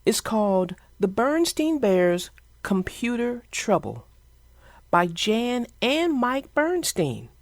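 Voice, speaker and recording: A woman reading a children's storybook aloud as narrator, in an expressive storytelling manner. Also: on the high side, slow, no room sound, clean studio sound. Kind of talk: scripted storybook read-aloud.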